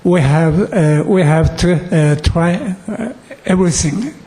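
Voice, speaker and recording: Slow, hesitant Japanese man, higher-pitched. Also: warm tone. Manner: hesitant, earnest, broken English with frequent pauses. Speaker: visiting student delegation leader. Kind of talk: halting formal thank-you speech. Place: council meeting room, public address.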